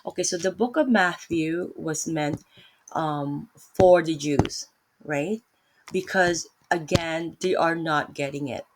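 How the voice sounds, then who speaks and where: A woman's voice: low voice, slow, noisy background. A woman with a Filipino accent giving a casual Bible talk on her laptop, rambling and correcting herself with lots of fillers. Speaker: vlogger teaching Bible study.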